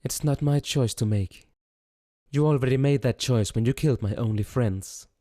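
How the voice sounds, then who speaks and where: A man's voice: mid-range voice, normal speed, warm tone, no room sound, studio quality, pitched above the performer's own voice, lightly acted. A man voicing a defiant, bitter female warrior in a dramatic fantasy story, with music behind. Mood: bitter defiance. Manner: accusing, scornful. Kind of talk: dramatic storybook character acting.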